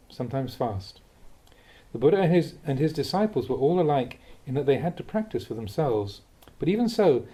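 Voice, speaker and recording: Male monk reading aloud; animated, melodic delivery, calm and reflective. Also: fairly high pitch. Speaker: Buddhist monk reading teachings. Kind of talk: reading a dharma talk aloud.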